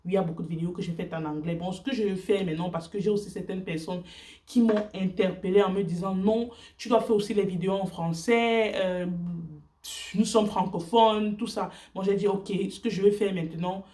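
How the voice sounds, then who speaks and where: A woman whose first language is English speaks in earnest, halting French, with many fillers and restarts, sharing her faith on a casual vlog. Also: medium pitch, steady tone, warm tone, recorded from a distance.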